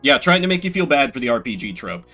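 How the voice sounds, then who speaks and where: A man with a bright, forward voice and very animated pitch swings commentates on a game as he plays. He reads on-screen text theatrically and drifts into sardonic, rambling asides, with faint music underneath. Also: quick pace. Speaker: game streamer. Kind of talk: solo-voiced let's play commentary, reading game text aloud dramatically.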